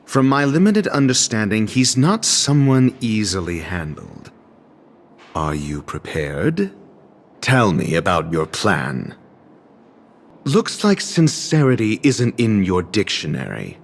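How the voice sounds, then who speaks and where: A man voicing a haughty, sardonic scholar in a video game, speaking with formal diction and dry, measured condescension. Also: lowish voice, sing-song, exaggerated voice. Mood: cool, sardonic. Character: haughty, sardonic male scholar, coolly exasperated and erudite. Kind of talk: video game cutscene voice acting. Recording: game dialogue over background music. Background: background music.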